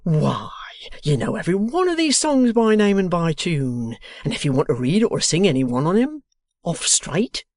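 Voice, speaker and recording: A reader voicing an excitable, uneducated retired old man who exclaims loudly, mangles his words and swings through a wide range of pitch. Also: a little slow, no echo. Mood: eager. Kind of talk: audiobook character reading.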